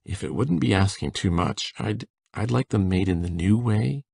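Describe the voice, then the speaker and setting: A man playing a shy, flustered, gentle middle-aged country bachelor farmer in an audiobook drama, gravelly and stammering. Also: sits low, expressive, normal speed, full character voice. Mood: shy, grateful. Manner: stammering, hesitant.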